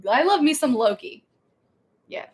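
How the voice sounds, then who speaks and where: A woman's voice: high voice, animated, a little slow. A woman co-hosting a casual theme park live stream, chatty and laughing, rambling with restarts.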